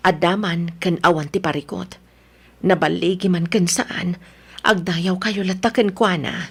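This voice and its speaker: A woman's voice: fairly low pitch, fairly even intonation, unhurried, creaky, slightly rough, full character voice. A woman playing a devout, caring middle-aged mother in the province, tenderly counseling in an Ilocano radio drama. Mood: tender, sorrowful.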